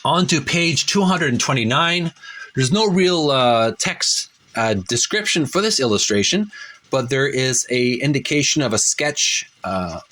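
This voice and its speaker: A man hosting a hobby show, describing illustrations in a casual, unscripted way, halting and rambling as he searches for words. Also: mid-range voice, a bit bright. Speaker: host of a retro video game art book show.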